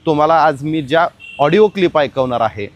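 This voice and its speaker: A man's voice: on the high side, expressive, normal speed. A man presenting explanatory crime news commentary, emphatic and speaking straight to the audience.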